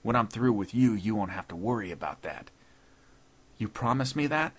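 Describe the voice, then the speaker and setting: A man voicing a proud older judge in a story, grave and desperate, speaking in a formal, deliberate way. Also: fairly low pitch, slightly rough. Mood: earnest, grave. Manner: formal, deliberate, earnest speech.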